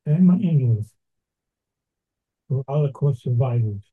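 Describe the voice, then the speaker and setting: An older man from Brooklyn, giving a slow, deliberate, reflective talk with pauses. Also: mid-range voice, expressive, slightly rough. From Brooklyn, New York. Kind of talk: measured reflective address. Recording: tinny phone-like sound.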